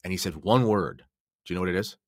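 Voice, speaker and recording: Guy with a low voice talking through stuff on a podcast, keen and explanatory, dry close sound. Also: fast, no echo. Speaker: podcast guest or co-host commentator. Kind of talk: conversational podcast commentary.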